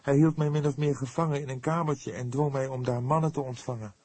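A man voicing an earnest, devout young woman in an audiobook, speaking patiently and with solemn emphasis, quick to defend herself. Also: mid-range voice, fairly even intonation, a little mellow, dry recording, pitched above the performer's own voice, lightly acted. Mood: earnest, pained.

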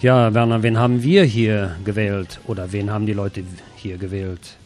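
Male co-host, slightly low voice, casual political chat with faint background noise. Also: steady tone, normal speed.

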